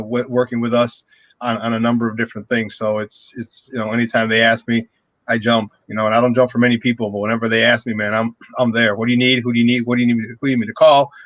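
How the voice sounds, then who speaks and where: A man's voice: mid-range voice, a bit bright, no echo, highs cut off. A man co-hosting a casual sports talk show, laid-back, saying man a lot and laughing at his own jokes. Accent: Chicago. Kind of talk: casual radio show banter.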